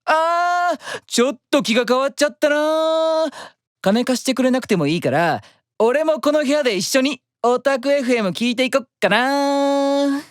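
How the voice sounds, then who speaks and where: An actor playing a cocky, scheming, greedy demon brother in an anime audio drama. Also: male voice, very high voice, expressive, normal speed, dry recording, clean studio sound. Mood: sly, teasing.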